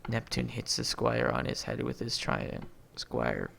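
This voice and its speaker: Gravelly amateur man reading a script aloud. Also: medium pitch, huge pitch swings, a little slow, a bit bright, slight background noise. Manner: reads names and punctuation aloud, stumbling over words. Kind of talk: reading a movie script aloud, stage directions and all lines.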